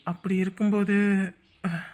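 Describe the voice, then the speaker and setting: A woman plays a sincere, humble young man in love in a story drama. The delivery is earnest and halting, at a medium pitch for a female voice. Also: little pitch movement, darkish tone, natural voice. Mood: downcast, humble. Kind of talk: romantic story drama acting.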